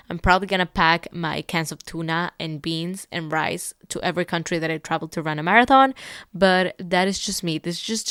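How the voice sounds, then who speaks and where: Low, smooth-voiced woman. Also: dry recording, studio quality. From Ecuador. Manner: candid, upbeat and motivational, with light laughs. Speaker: podcast host. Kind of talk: reflective solo podcast monologue.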